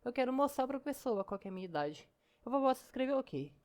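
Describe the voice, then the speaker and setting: Mulher mineira explicando código bem rápido. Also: female voice, high voice, sing-song, quick pace, a little mellow. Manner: chatty, explains step by step, keeps saying "ó" and "né". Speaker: programming teacher. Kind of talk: casual screen-recorded coding lesson.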